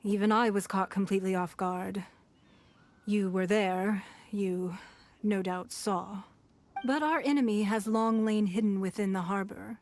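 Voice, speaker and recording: A woman playing a poised, shrewd and authoritative noblewoman in an anime video game, speaking in a formal, composed, measured way. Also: medium pitch, steady tone, a little mellow, close to an everyday voice. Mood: composed, solemn. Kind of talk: anime video game voice acting, expository dialogue. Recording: music behind the voice. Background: game music.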